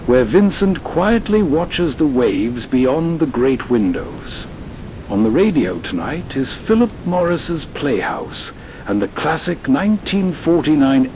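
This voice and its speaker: A man hosting with unhurried, scripted narration, introducing old-time radio in a measured storytelling way. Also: a little mellow, poor audio. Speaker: host. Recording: rain and rumble behind voice. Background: storm rain and thunder.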